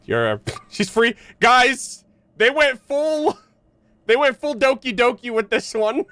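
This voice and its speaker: Animated, high-pitched young male streamer. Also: slow pace, dry recording. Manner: slangy, incredulous, joking. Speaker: game streamer. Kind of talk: casual live gameplay commentary.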